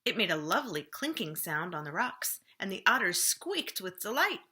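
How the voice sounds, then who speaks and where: Bright-voiced woman reading a children's story. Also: mid-range voice, very animated, normal speed. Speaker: children's librarian reading a book aloud. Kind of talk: storybook read-aloud for children.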